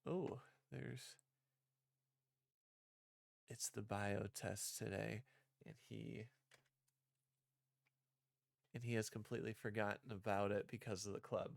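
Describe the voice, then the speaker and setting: A man casually streaming as he works on a game script, reading lines aloud and rewording them in a halting way. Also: fairly low pitch, fairly even intonation, gravelly, no echo, studio quality. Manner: halting, thinking out loud, repeating and rewording lines. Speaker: streamer developing own visual novel.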